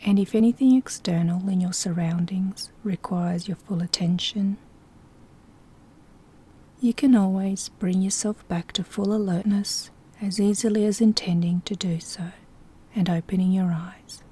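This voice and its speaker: A soft-spoken woman with a breathy, whispery voice gently guiding a sleep hypnosis session in a soothing, instructing way. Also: mid-range voice, fairly even intonation, measured pace, long pauses. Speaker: hypnosis guide.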